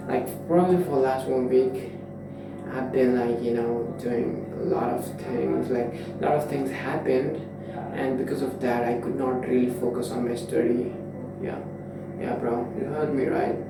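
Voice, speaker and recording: A young man from Nepal muses aloud in his twenties, wandering through thoughts with endless fillers and false starts. His voice has a gravelly edge and sits far from the mic in a strongly echoing room, with steady background noise. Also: mid-range voice. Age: 20s. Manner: rambling, full of "you know" fillers and restarts. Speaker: vlogger. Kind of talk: casual reflective monologue.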